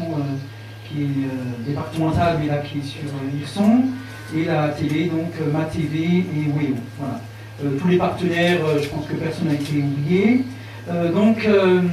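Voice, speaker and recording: A man's voice: high voice, mellow. A man moderating a round-table debate, hesitating often with fillers. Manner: many fillers, repeats "voilà". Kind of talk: hesitant welcoming speech. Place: large echoey hall. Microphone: handheld mic, heard from afar. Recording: echoey hall, distant, noisy.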